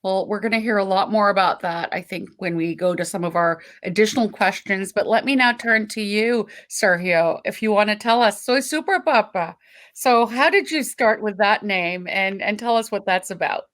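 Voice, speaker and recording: Woman, panel moderator, friendly, hesitant with ums, mid pitch. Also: moderate pace, fairly bright tone.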